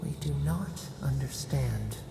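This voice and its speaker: A performer voicing an ancient, otherworldly spirit in a video game, speaking solemnly and cryptically in slow, halting phrases as a collective we, with a gravelly, echoing voice. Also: mid-range voice, animated, noisy background, heavily acted. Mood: brooding, solemn. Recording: cavernous reverb, music underneath.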